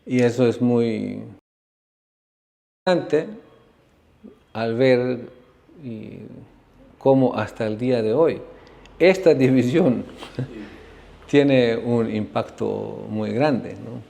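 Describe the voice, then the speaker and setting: Male spiritual teacher from Bosnia, unhurried lecturer with a gravelly, creaky voice. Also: mid-range voice, dark tone. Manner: unhurried, frequent tag questions. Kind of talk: lecture on religious history. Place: ashram class.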